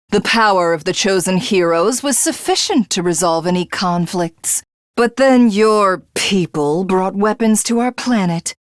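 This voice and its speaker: Grandiose villainess, sweeping pitch. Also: female voice, a bit bright, no echo, clean studio sound, exaggerated voice. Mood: cold accusation. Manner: grandiose, haughty, savouring each phrase. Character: gloating, megalomaniac female villain with godlike power. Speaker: video game voice actress. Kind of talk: theatrical video game villain acting.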